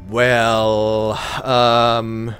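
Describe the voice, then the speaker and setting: A man's voice: fairly low pitch, completely flat delivery, very slow, fairly bright tone, clear, resonant tone. A man in his thirties whose first language is Croatian, chatting casually and rambling as he hosts a let's play. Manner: chatty, rambling, self-correcting with many fillers. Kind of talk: casual gameplay commentary. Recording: faint game music behind.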